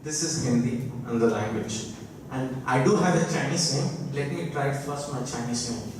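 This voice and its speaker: A man's voice: mid-range voice, moderate pace, background noise. A young man from India with an Indian accent, a native Odia speaker, giving an informal classroom talk and coaxing the class with questions. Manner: chatty, coaxes the class with questions. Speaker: guest lecturer. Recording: echoey classroom from far off.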